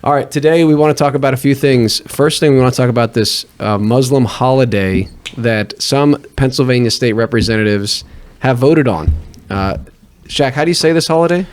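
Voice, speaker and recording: A man's voice: mid-range voice, moderate pace. Podcast host, male, mid-range voice, easygoing, chatty. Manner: relaxed, uses fillers and restarts. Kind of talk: casual talk show hosting.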